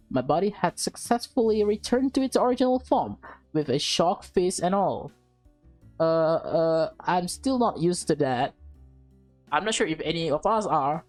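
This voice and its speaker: A woman with a slightly low voice narrates a visual novel for a playthrough. She moves between read-aloud story text and informal reactions, with music playing underneath. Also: a little mellow. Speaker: let's play streamer reading and reacting to a visual novel. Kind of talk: read-aloud narration with casual live commentary.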